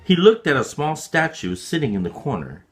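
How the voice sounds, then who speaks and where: Male storyteller: higher-pitched, very animated, slow and deliberate, dramatic reading with careful phrasing. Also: faint music behind. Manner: deliberate, expressive, clearly phrased.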